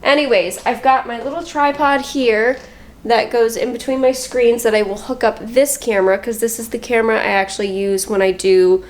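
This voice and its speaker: Brief: upbeat woman vlogger at her desk at home, stuffy and slightly nasal, chatty and relaxed. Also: fairly high pitch, normal speed. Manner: chatty, upbeat, talks to camera. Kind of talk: casual vlog chat. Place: home office.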